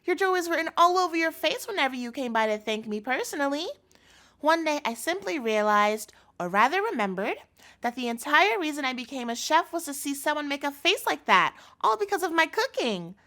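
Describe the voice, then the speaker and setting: A woman's voice: high voice, very animated, a little fast, sharp tone, full character voice. A woman playing an obsessive chef girlfriend in a scripted audio roleplay, sugary and coaxing with a threatening edge, speaking intimately to the listener. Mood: sweet, doting. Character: obsessive yandere chef girlfriend, sweet but menacing.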